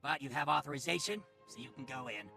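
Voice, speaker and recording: A menacing game cop, sharp gravelly voice, bossy and scornful, music behind him. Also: male voice, medium pitch, fast. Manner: scornful, curt, threatening. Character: officious, menacing male secret police officer. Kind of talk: video game voice acting.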